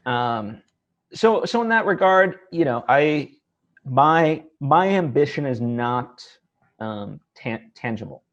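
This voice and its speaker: A man hosting a casual interview, speaking in an earnest, hesitant way with halting phrasing. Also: medium pitch, lively intonation, a bit bright, highs cut off. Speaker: interview host. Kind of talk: casual one-on-one interview conversation.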